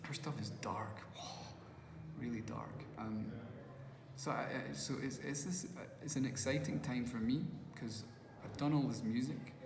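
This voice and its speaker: A man's voice: medium pitch, unhurried, background noise. A Scottish man with a Glasgow accent, a musician turned first-time filmmaker, giving rambling interview answers full of restarts. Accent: Glaswegian. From Scotland. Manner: rambling, with many restarts and acted quotes. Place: festival venue. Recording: echoey hall, faint ambience.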